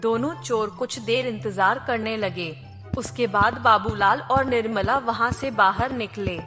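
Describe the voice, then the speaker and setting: A woman narrating a cartoon story in a steady, clear storytelling manner. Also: fairly high pitch, measured pace, soft background music.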